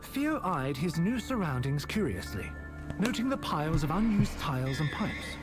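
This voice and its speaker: A wry storyteller voiced by an actor narrates an adventure game like a storybook, with theatrical flair and disdainful asides. The pitch moves in lively swings, and music plays behind the voice. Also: moderate pace, natural voice. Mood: wry, storytelling. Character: omnipotent storyteller, wry, openly irritated by robots.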